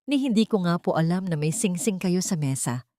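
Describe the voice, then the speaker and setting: A woman's voice: on the low side, expressive, brisk, dark tone, no echo, clean studio sound, lightly acted. A woman voicing a humble young housemaid who pleads deferentially against false accusation in an audio storybook. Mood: fearful denial.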